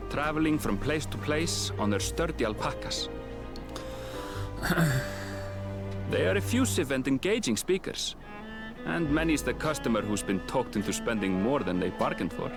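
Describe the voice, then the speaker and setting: A man voicing a learned young companion who calmly explains local customs in a fan dub of a video game. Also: mid-range voice, moderate pace, over music, natural voice. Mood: informative.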